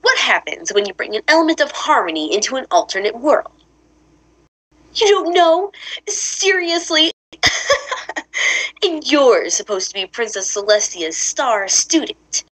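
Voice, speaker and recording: A woman's voice: medium pitch, sing-song, normal speed, few pauses, poor audio. A woman voicing a smug, scheming teenage villain girl in a cartoon, with mocking, condescending taunts. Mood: mocking, incredulous. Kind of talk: animated cartoon voice acting.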